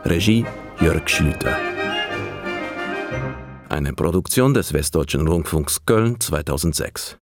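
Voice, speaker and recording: Low-voiced male credits announcer over loud music. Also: lively intonation, slow, pauses often. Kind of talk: scripted radio credits announcement.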